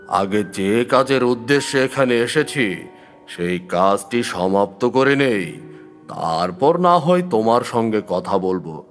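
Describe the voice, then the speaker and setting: Man acting calm tantric occultist, low pitch, very slow grave delivery, audio horror story. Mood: reassuring, firm. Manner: measured, grave, deliberate. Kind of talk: dramatic scripted audio story narration.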